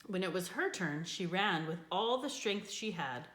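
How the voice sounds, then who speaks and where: Echoey, lower-voiced woman reading a storybook. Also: measured pace. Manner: expressive, with character voices for dialogue. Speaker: reader of a children's book. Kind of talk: scripted storybook narration, read aloud. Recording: echoey room.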